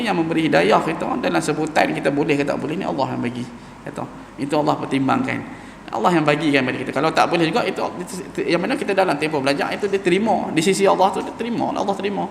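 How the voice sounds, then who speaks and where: Gravelly-voiced man teaching in an echoing mosque. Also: fairly high pitch, a little hiss or hum. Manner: informal, explaining, drawing out Arabic sounds slowly to demonstrate. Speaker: Quran teacher. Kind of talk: Quran recitation class in a mosque. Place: large mosque hall. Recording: echoey mosque hall with long reverb.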